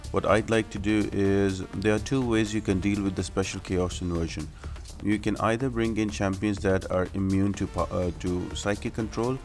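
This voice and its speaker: Low-voiced man narrating a game guide. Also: steady tone, normal speed, a little mellow. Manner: explanatory, step-by-step. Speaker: video game guide YouTuber. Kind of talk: casual gameplay walkthrough narration. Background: music under the voice.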